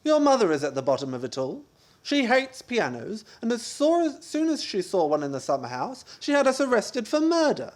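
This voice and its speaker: Woman acting an animated, blustering husband. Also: fairly high pitch, moderate pace. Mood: exasperated. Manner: blustering, declamatory, sarcastic. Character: outraged, blustering husband in a farce. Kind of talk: radio play farce acting.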